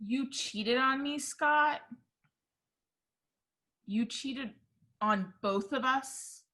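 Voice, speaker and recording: A woman's voice: high-pitched, drawn-out words, sharp tone, heavily acted. A woman playing a furious jilted teenage ex-girlfriend out for revenge, speaking in an accusing, dramatic manner. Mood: hurt.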